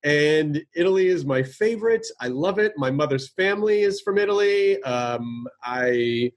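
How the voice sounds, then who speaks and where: A man hosting a podcast in casual chat, talking with many fillers and self-corrections, and slipping into halting learner Italian. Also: on the high side, animated. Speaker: podcast host.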